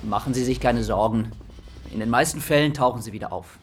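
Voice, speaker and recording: An actor playing a courteous police officer in a German radio drama, businesslike and reassuring. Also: male voice, medium pitch, brisk, background noise, natural voice.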